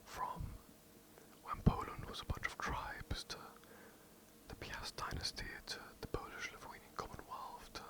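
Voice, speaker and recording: A young adult with an English accent, whispering a casual, rambling history overview for ASMR. The talk is loose and self-mocking, with swearing and asides. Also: measured pace. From England. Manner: casual, rambling, swears, giggles at own slips. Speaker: ASMR creator. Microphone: poor quality home mic.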